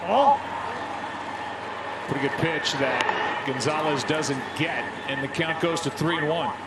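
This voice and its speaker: A male play-by-play announcer with a slightly high voice calls live baseball. The sound is roomy, with loud ballpark ambience running behind him. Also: animated, measured pace, very noisy. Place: broadcast position at a sparsely filled ballpark.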